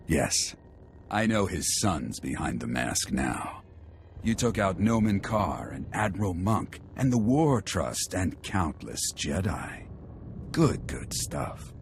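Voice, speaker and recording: A man's voice: sits low, sing-song, takes it slowly, slight background noise, exaggerated voice. A man voicing a smug, chatty, deadly assassin in a video game, with a theatrical, taunting manner. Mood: admiring menace.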